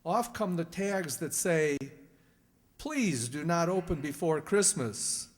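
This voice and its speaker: A man with a slightly high voice announces in old-time radio style. His delivery is formal, measured and earnest, ringing in a reverberant hall. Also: animated. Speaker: sponsor's announcer. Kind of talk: 1930s radio announcing, scripted.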